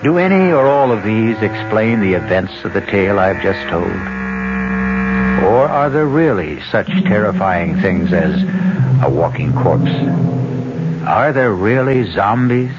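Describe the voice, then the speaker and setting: A man hosting and narrating a 1970s radio mystery with dramatic, measured storytelling. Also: fairly low pitch, animated, loud music behind the voice. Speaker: host and narrator. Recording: dull 1970s radio recording.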